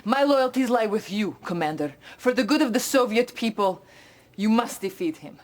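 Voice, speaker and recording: Fields. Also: female voice, on the high side, lively intonation, moderate pace, lightly acted. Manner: urgent, formal briefing. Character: loyal, earnest female Soviet officer. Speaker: Soviet military officer.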